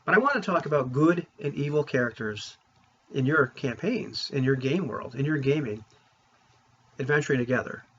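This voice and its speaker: Husky man chatting casually, slightly dull sound. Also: medium pitch, lively intonation, moderate pace, fairly bright tone. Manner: informal, rambling, opinionated. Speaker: vlogger on tabletop roleplaying games. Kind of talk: casual chat to viewers. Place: room at home.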